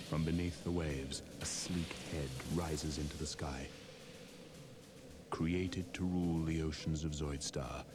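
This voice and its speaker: A man playing a solemn, world-weary namer of war machines, narrating a children's audio story in a portentous manner. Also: extremely low pitch. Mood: grave, weary. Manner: grave, portentous. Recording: loud music behind the voice.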